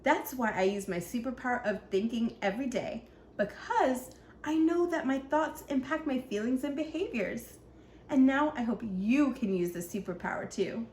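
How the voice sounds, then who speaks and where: A woman's voice: a little high, moderate pace, darkish tone, natural voice. A woman voicing an earnest young ninja from a children's storybook, explaining things simply to children. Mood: earnest, instructive. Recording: echoey room, faint background noise.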